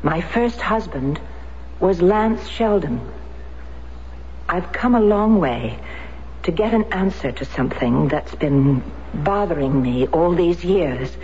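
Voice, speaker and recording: An actress playing a middle-aged, determined American war widow in a radio drama, speaking earnestly and insistently. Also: female voice, fairly low pitch, lively intonation, slow pace, warm tone. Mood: earnest, intent. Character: determined American war widow seeking her husband's betrayer. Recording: dull, noisy vintage radio recording.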